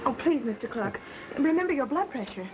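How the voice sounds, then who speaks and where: Quick-spoken, demure 1930s young woman. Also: high-pitched, expressive, muffled recording. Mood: polite, uneasy. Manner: polite, fluttery, protesting. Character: demure, worried young working woman at radio station. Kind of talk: 1930s Hollywood film acting. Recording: 1930s film soundtrack with music.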